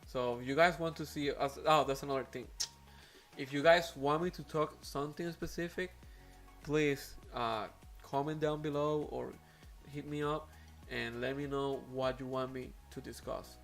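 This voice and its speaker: A man's voice: medium pitch, steady tone, normal speed, gravelly, fairly bright tone, soft background music. A man whose first language is Spanish, speaking English with a Spanish accent as host of an aquarium live stream, talking casually with many fillers and restarts.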